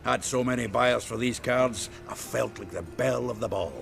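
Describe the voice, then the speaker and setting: Gravelly, hearty dwarf rogue. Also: male voice, mid-range voice, moderate pace, fairly bright tone. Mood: jovial banter. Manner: blunt, colloquial, hearty. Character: gruff, jovial, foul-mouthed male dwarf merchant and fighter. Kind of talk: video game character acting.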